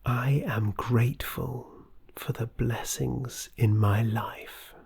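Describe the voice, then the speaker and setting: Meditation guide: hushed, soothing, scripted delivery, unhurried pace, breathy and husky with vocal fry. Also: mid-range voice, fairly even intonation. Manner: soft, soothing, breathy, often near whisper.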